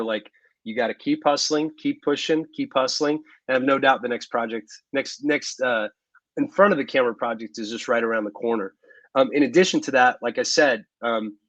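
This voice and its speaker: A man's voice: mid-range voice, no room sound. A man in his thirties, an actor being interviewed, talking at length in a chatty, rambling way with many ums and restarts, over a video call from his living room. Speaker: actor guest. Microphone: video call microphone. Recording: dull, dry home video call.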